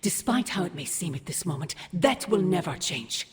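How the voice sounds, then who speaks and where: A woman's voice: fairly low pitch, very animated, moderate pace. A woman playing an imperious, scornful sorceress mother in a video game, speaking in grave, archaic, theatrical phrases. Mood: fervent, commanding. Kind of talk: dramatic video game acting. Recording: long cavernous reverb over music.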